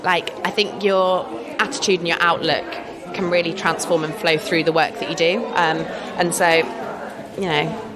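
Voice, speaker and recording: A woman's voice: on the low side, fairly even intonation, moderate pace. A woman artist with an English accent talking about her work in a chatty, enthusiastic way, laughing often. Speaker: artist interviewed. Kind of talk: casual interview chat. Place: busy art fair. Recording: crowd chatter behind.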